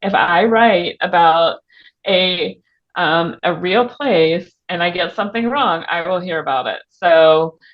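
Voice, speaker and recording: Muffled, lower-pitched woman in casual interview. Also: slow. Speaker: author guest on a book podcast. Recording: muffled, band-limited audio.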